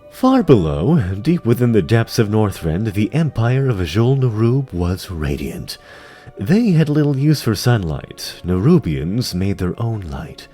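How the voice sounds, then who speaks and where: A man with a low, mellow voice narrates fantasy fiction in a theatrical, deliberate way. His pitch moves widely from phrase to phrase, and soft music sits behind him. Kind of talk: dramatic scripted fantasy narration. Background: music under the voice.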